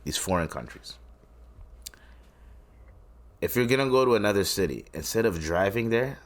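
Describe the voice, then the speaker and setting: A man's voice: fairly low pitch, expressive, normal speed. A man from Tanzania hosting a solo podcast, rambling and swearing between his points. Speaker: podcast host. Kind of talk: casual solo podcast commentary.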